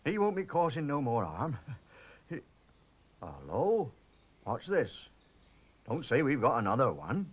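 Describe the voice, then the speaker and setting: A man voicing a pompous, bumbling rural police constable in slow countrified dialect. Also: mid-range voice, wildly swooping pitch, slightly rough, exaggerated voice. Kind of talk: 1960s children's TV animation voice.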